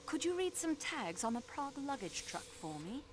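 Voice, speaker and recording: A woman's voice: high voice, very animated, moderate pace, noisy background. A woman voicing a polite, determined young investigator in a video game, businesslike and composed. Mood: brisk, determined. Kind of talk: video game character acting.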